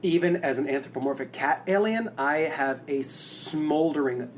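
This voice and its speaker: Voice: medium pitch, normal speed, a little hiss or hum. A podcast co-host chatting casually and gushing like a fan. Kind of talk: casual chat.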